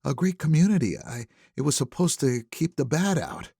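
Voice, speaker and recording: A man voicing a grieving, guarded suburban widow in an audiobook, soft-spoken and halting. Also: on the high side, big pitch swings, vocal fry, husky, no echo, clean studio sound, voice pushed up, exaggerated voice.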